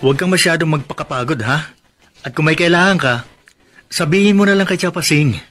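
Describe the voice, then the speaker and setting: A man's voice: on the high side, a little fast, a bit bright, soft background music, lightly acted. A man playing a protective young husband and expectant father in a Filipino radio drama, solicitous and conversational. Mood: caring, insistent.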